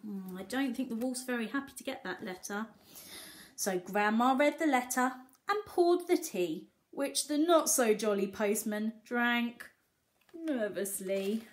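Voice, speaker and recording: A woman reading a picture book aloud to young children, expressive, pausing to explain and ask simple questions like a teacher. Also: fairly high pitch.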